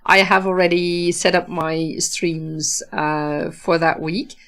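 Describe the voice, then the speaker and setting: Slow-speaking Dutch woman. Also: lowish voice, flat delivery, a bit bright. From Netherlands. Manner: slow, thoughtful, many fillers and restarts. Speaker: livestream host, author. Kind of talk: casual livestream chat reading viewer comments.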